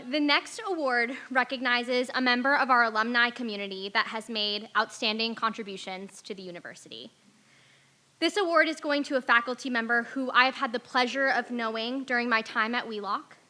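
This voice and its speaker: A woman's voice: on the high side, pushed and bright. A woman hosting an awards ceremony, presenting from semi-prepared remarks.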